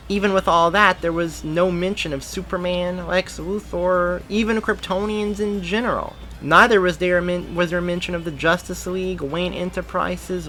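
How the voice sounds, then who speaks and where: A man's voice: on the high side, creaky, a bit bright. A man reading a story aloud as narrator in an emphatic way, now and then stumbling and rereading a phrase.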